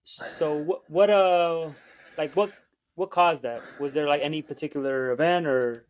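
A man's voice: fairly high pitch, fairly even intonation, measured pace. A man hosting a podcast, asking casual questions with a few fillers and restarts. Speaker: podcast host.

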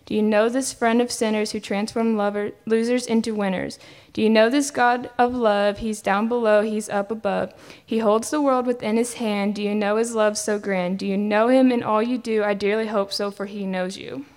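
A woman reciting a spoken-word devotional poem with a steady, rhythmic, rhyming delivery. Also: medium pitch, moderate pace.

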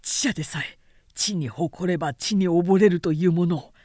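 A woman's voice: lowish voice, moderate pace, dark tone, no echo, clean studio sound, natural voice. A woman narrator voicing a grave, humble, self-reproaching warlord, with weighty archaic speech in a historical storybook reading. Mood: rueful.

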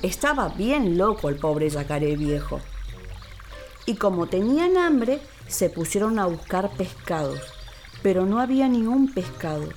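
Female storyteller, mid-pitched, theatrical and expressive children's narration over background music. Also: measured pace. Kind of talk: scripted dramatic storytelling for children.

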